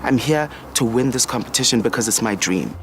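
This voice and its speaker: A man's voice: mid-range voice, expressive, fairly quick, over music. A man in his twenties, a TV presenter from South Africa, introducing himself with determination. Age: 20s. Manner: determined, emphatic. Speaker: television presenter. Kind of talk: contestant introduction.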